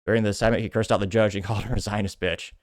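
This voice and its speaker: American man, lowish voice, casual rambling commentary host who wanders into asides; dry recording. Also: steady tone, racing, no echo. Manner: rambling, digressive, with offhand asides. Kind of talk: casual political commentary, reading out viewer comments.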